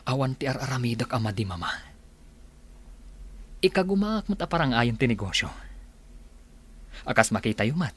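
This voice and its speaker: A man's voice: medium pitch, very animated, moderate pace, long pauses, fairly bright tone, slightly rough. An actor with an Ilocano accent playing a secretive, evasive young businessman, earnest and placating, in a radio drama. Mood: defensive.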